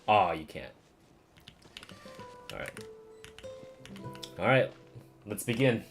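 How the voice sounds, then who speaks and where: A man's voice: lowish voice, very animated, takes it slowly, soft background music. A man streaming a video game with casual, slangy, sweary reactions and muttered asides. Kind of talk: casual reacting commentary over gameplay.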